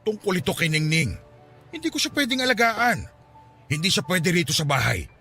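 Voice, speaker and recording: A man with a Filipino accent acting in a radio drama as a gruff, troubled loner who is a reluctant foster father, halting and insistent. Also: high-pitched, very animated, pushed and bright, soft background music, full character voice.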